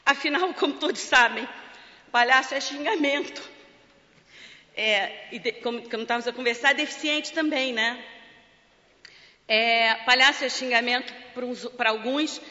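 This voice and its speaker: Brazilian woman giving a thank-you speech, bright voice, jokey and teary. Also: a little high, moderate pace, pauses often. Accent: Brazilian Portuguese. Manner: emotional, joking, fighting back tears. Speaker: charity president and clown. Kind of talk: award acceptance speech. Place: large parliament hall. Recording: lo-fi with long hall reverb.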